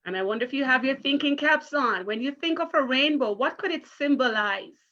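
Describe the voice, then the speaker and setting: Casting: woman, primary teacher, somewhat high voice, interactive storytime delivery, muffled online-call sound. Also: animated, fairly quick, bright, forceful tone. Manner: encouraging, careful teacherly address with questions. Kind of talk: interactive children's read-aloud. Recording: muffled video call audio.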